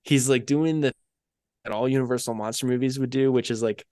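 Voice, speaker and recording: A man's voice: mid-range voice, steady tone, a little fast, mellow, clear, resonant tone, no echo, studio quality. A man co-hosting a podcast, talking casually and conversationally as he reviews episodes, with many filler phrases. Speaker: podcast co-host.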